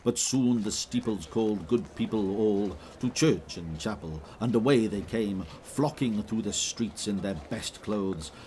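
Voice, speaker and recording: A man narrating an audio drama in measured, vivid storytelling. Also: lowish voice, dark tone, a little hiss or hum.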